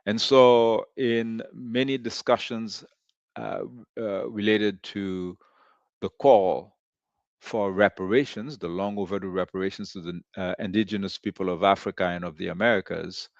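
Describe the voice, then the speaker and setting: Slow-spoken deep-voiced man from Haiti. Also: fairly even intonation, gravelly, dry recording, highs cut off. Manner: deliberate, with frequent "uh" and "you know" pauses. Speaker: host of a commentary show. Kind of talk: slow reflective commentary monologue.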